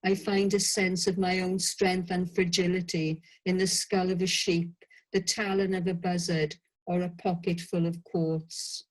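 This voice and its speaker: A middle-aged woman with a South Wales Valleys accent reads her own reflective prose in a slow, measured way. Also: lowish voice, little pitch movement, rough recording. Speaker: writer reading her own piece. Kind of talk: scripted reading of personal prose.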